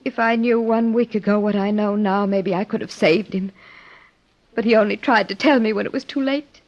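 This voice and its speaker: An actress playing an earnest, grieving young wife in old-time radio drama style, speaking haltingly with emotion. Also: female voice, medium pitch, fairly even intonation, natural voice. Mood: confiding. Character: grieving young wife of a wealthy older man. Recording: dull, lo-fi old radio recording.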